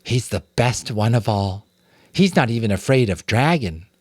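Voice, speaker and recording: A man's voice: on the low side, lively intonation, moderate pace, a little mellow, no echo, studio quality. A man voicing a small young girl rat, childishly earnest, blunt and suspicious, in an audiobook. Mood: naive, earnest.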